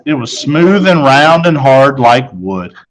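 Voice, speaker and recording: A man narrates a children's book out loud. His voice is mid-pitched, and he tells the story in the first person as a storybook reader. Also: big pitch swings, slow pace, a little hiss or hum, poor audio. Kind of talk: read-aloud story narration.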